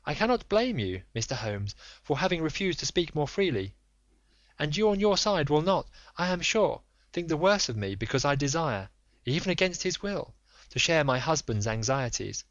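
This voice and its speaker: A man's voice: on the high side, very animated, normal speed, no echo, pitched above the performer's own voice. A man voicing an anxious noble Victorian lady who pleads in formal, earnest speech. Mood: composed. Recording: dull lo-fi dry recording.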